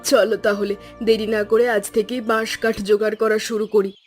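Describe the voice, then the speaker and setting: A woman voicing a gentle, caring cartoon mother. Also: mid-range voice, flat delivery, normal speed, faint music behind, natural voice. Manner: gentle, soothing. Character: caring middle-aged wife and mother, gentle. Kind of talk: cartoon voice acting.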